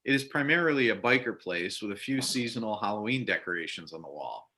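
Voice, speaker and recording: A man's voice: lowish voice, expressive, quick pace, pushed and bright. A man reading scripted narration and stage directions for a readers theater play.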